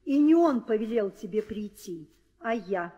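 A woman's voice: medium pitch, expressive, measured pace, warm tone, slightly rough, muffled recording. An actress playing a haughty, commanding queen in an old theatrical audio drama, with declamatory delivery. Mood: imperious. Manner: imperious, declamatory. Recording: old radio play, hall reverb.

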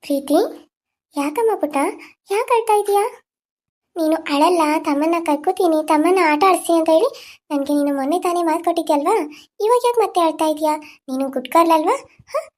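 Tender ghost mother, played by a woman: high, soothing, coaxing. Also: brisk. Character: gentle ghost of a young mother.

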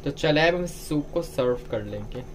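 A man's voice: a little high, animated, normal speed, slight background noise. A man giving a step-by-step cooking tutorial as a home cook, instructing viewers directly. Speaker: home cook presenting a recipe.

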